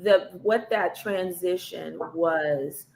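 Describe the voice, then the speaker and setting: A woman's voice: on the low side, slow, vocal fry, a little mellow, poor audio. A woman hosting a podcast interview, asking long questions and encouraging her guest with affirmations. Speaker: podcast host.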